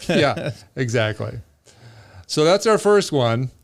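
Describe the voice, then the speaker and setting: A man's voice: mid-range voice, big pitch swings, takes it slowly, vocal fry, no echo, studio quality. A middle-aged man hosting a podcast, reminiscing in casual chat. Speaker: podcast host.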